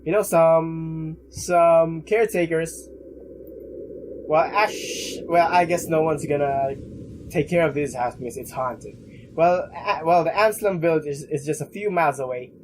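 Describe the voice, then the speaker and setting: A young man doing a let's play, haltingly reading game text aloud with casual commentary. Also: fairly high pitch, noisy background. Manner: halting, stumbles while reading.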